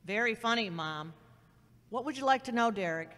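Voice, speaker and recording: A woman's voice: on the high side, expressive, moderate pace, a bit bright. A woman playing a confident, dry-witted older sister in an audio drama. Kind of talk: amateur audio drama acting. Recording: long hollow reverb.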